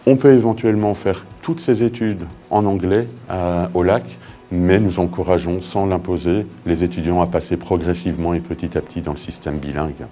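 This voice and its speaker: A school staff man explaining the program in an interview, pausing with fillers. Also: deep voice, steady tone, mellow. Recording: music bed underneath.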